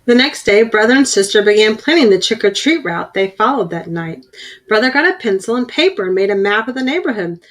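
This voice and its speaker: A woman reading a children's picture book aloud in an expressive storytelling style. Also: mid-range voice, a little fast. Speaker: storybook reader.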